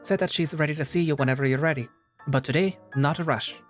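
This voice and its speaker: Guy doing a high scottish squirrel barmaid, brisk, plainspoken, calls ya lads. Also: faint music behind, lightly acted. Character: brisk, unflappable female squirrel barkeep.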